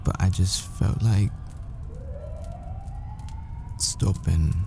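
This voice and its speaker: A man playing a tender, haunted professor boyfriend in an intimate audio roleplay, speaking in halting, wistful, confessional phrases. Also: deep voice, fairly even intonation, gravelly, background noise, exaggerated voice. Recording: music behind the voice. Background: music.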